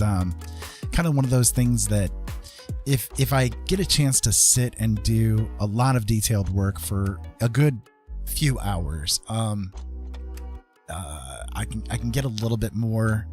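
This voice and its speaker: A man's voice: lowish voice, a little mellow. Casual, rambling man livestreaming over music. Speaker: live art streamer.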